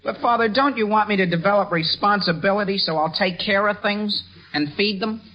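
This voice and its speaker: A man's voice: high voice, a little fast, close to an everyday voice. A man playing an eager, pleading teenage son with a youthful, cracking voice in a 1940s radio comedy. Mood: protesting, earnest. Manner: earnest, wheedling, indignant when doubted. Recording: dull old radio broadcast recording.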